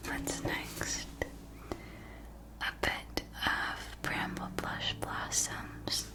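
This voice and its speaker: A woman playing a kindly potion-supply shopkeeper in a scripted fantasy ASMR roleplay, speaking in a soft, breathy whisper with deliberate, gently explanatory delivery. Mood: soothing, helpful. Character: kindly female shopkeeper of magical witch-school potion supplies.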